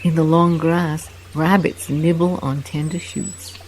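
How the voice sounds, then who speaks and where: A meditation guide narrating a guided relaxation walk in a soft, soothing, unhurried way with long pauses. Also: mid-range voice, flat delivery, a little hiss or hum.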